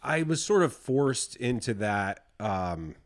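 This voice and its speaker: A man's voice: medium pitch, big pitch swings, takes it slowly. A man talking casually as a musician guest on a podcast, easygoing and self-deprecating. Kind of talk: casual chat.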